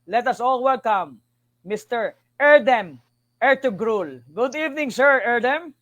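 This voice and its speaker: High-pitched guy hosting an interview, gushing, repeats himself, dry close sound. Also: expressive, a little slow, fairly bright tone, no echo, highs cut off. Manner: effusive, repeats words, frequent you know. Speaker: host.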